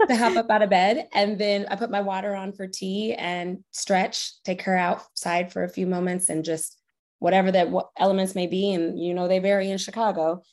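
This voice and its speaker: A woman's voice: mid-range voice, flat delivery, normal speed, darkish tone. Encouraging woman, podcast guest. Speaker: podcast guest, corporate strategy director. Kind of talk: casual podcast interview answers.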